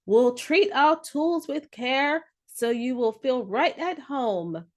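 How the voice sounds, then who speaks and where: A woman's voice: high voice, animated, slow pace. A woman voicing an earnest, apologetic schoolboy pleading in a children's storybook.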